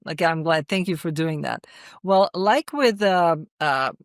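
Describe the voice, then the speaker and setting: Serbian-accented woman, halting and earnest. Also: low-pitched, lively intonation, no echo, studio quality. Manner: hesitant, repeats words, restarts often. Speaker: filmmaker guest. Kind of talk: interview.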